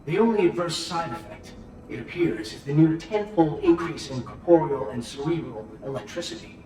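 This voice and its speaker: An actor playing a detached researcher formally dictating an experiment log in a video game. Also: male voice, on the high side, moderate pace, crowd noise, natural voice. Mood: clinical. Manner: clinical, formal. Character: clinical, detached male researcher logging an experiment. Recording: echoey, distant, noisy archive recording.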